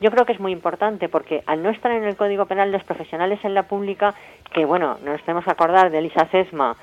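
Fast-talking Spanish woman, emphatic and colloquial. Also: on the low side, lo-fi sound. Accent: Spain. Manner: emphatic, colloquial, full of checking tag questions. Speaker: interviewed guest, health professional and feminist activist. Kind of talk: informal radio interview, explanatory.

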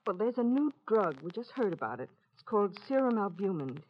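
A woman's voice: medium pitch, big pitch swings, brisk, lightly acted. A woman playing a worn, anxious, loving mother, hesitant and plain-spoken, in an old radio drama. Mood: weary, sorrowful. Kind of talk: 1940s-50s radio drama acting. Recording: muffled, noisy old radio recording.

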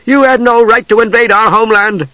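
Man putting on a high-voiced, spirited young woman. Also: lively intonation, fairly bright tone, slightly rough, no room sound, dull audio. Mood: angry. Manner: emotional, quick to flare.

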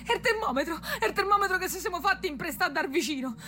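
Very high, gushing Roman country girl. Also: lively intonation, fast, pushed and bright, a little hiss or hum, exaggerated voice. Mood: plaintive, gushing. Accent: central Italian, Roman-area dialect. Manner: colloquial, breathless telling. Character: distraught, rustic young granddaughter, gushing and naive. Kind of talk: theatrical radio drama acting.

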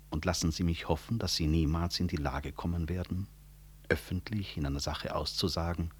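A proud professor of medicine in a 1960s radio drama, in a very deep bass voice over faint recording noise. He speaks with formal courtesy and a dry, quietly principled irony. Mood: quietly pointed.

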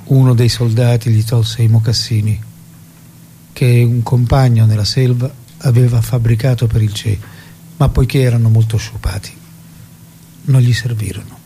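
An actor playing a solemn storyteller, giving scripted dramatic narration in a grave, measured way. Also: male voice, medium pitch, fairly even intonation, dark tone, a little hiss or hum, natural voice. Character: solemn male storyteller recounting a revolutionary's death.

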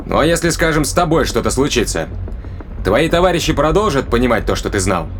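A man's voice: mid-range voice, very animated, brisk, faint music behind, lightly acted. An actor playing a blunt, impatient male interrogator in an audio drama, probing and demanding in colloquial speech. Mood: probing, curious.

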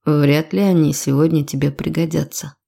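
A woman narrator plays a confident male lover in a romance audiobook. The voice is low, dark and creaky. He speaks in a measured, assertive way with a teasing edge. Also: steady tone, heavily acted. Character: self-assured, quietly commanding male lover in a romance.

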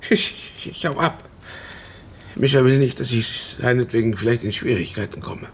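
Man acting a nervous accomplice, confused, stammering, repeated words, mid-pitched, radio crime drama, faint ambience. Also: moderate pace, a little hiss or hum, lightly acted. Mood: panicky. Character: nervous, confused man drawn into a police trap.

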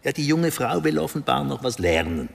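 An actor playing a discreet bank adviser in a radio drama, formal, measured and businesslike. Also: male voice, medium pitch, very animated, vocal fry, big-room sound. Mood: formal, guarded. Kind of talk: German radio drama acting.

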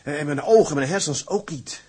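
Husky young seaman. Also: on the high side, fast, darkish tone. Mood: earnest, torn. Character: earnest, restless young tugboat mate, a seaman. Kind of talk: radio drama acting.

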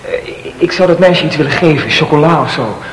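An actor playing an earnest, awkward young male medical student in a radio play, formally polite, with a gravelly fry. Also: on the high side, fairly quick, a little hiss or hum, close to an everyday voice, poor audio. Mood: eager, relieved. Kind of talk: Dutch radio play acting.

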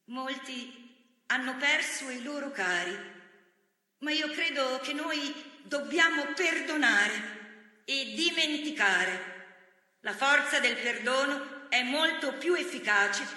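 A very high, piercingly bright voice of a resolute woman political leader. She speaks slowly and earnestly, like an orator, in a deeply echoing hall. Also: lively intonation, full character voice. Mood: earnest, forgiving. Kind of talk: theatrical political speech monologue. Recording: very echoey hall, long reverb.

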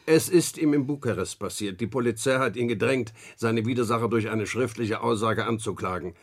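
An actor playing a grave middle-aged lawyer and uncle in a German radio crime drama, telling things in a deliberate, weighty way. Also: male voice, lowish voice. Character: grave male lawyer and uncle recounting grim tales.

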